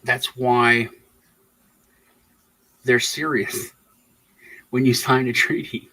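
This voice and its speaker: A man's voice: mid-range voice, level tone, drawn-out words, bright, forceful tone, poor audio. A man from Texas who grew up partly in Germany, chatting casually as a podcast host in a rambling way, often restarting his phrases. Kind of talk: casual political chat.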